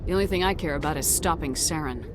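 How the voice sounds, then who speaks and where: A woman's voice: sits low, quick pace, background noise, natural voice. A woman playing a stern, determined military starship commander in a video game. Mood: grim, probing.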